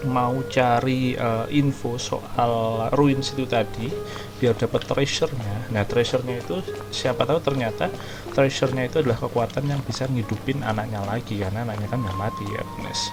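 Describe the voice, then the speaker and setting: Chatty Indonesian gaming commentator. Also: male voice, medium pitch, brisk, rough recording. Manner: rambling, chatty, many fillers. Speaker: gaming streamer. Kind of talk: casual let's-play commentary. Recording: voice over loud game music.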